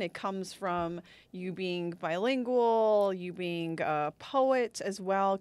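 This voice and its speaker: Measured woman podcast host, dry studio. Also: medium pitch, no echo. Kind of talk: interview questions. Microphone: boom mic on desk.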